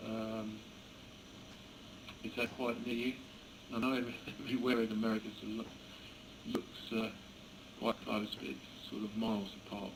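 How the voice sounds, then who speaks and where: British male ham operator, hesitant and creaky, fairly flat delivery, noisy recording. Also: on the low side. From England. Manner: hesitant, halting. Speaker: amateur radio operator. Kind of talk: casual ham radio chat. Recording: noisy amateur radio contact recording.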